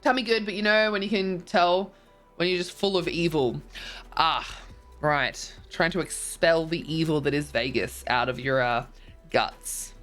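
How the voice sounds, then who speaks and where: A woman's voice: sits low, measured pace, a bit bright, faint music behind. Tired, congested woman streamer. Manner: chatty, rambling, many ums and likes. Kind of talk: casual chat reading viewer messages.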